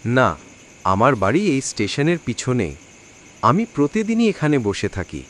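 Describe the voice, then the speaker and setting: A man's voice: mid-range voice, very animated, a little slow, slight background noise. A man voicing a lonely, plaintive old village man in a cartoon, reminiscing about his life. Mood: matter-of-fact. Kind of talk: cartoon dialogue. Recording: loud music under the voice.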